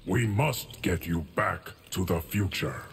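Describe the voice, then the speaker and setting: Game guardian, ancient and grave: very low gravelly voice, formal, measured. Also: male voice, slight background noise. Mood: solemn. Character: solemn, ancient male guardian of the timeline. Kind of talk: video game character dialogue.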